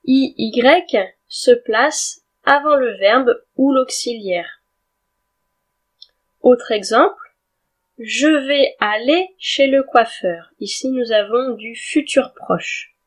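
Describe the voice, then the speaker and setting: A woman teaching a grammar lesson in a clear, deliberate way, spelling words out letter by letter. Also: on the high side. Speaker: French teacher. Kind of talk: slow scripted grammar lesson.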